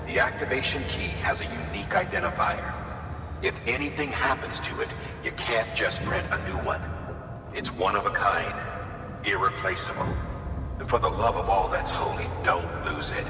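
An actor playing a stern, middle-aged government official giving a measured, emphatic briefing in a game cutscene. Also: male voice, on the low side, natural voice. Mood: stern warning. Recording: echoey hall, distant, music behind.

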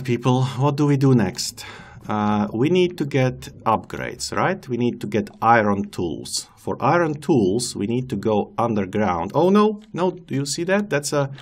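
A man's voice: medium pitch, very animated, measured pace. A man whose first language is not English, casually commenting on his gameplay as a let's-play host, chatting to the animals and joking at his own expense. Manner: chatty, talks to the game's animals and laughs at himself.

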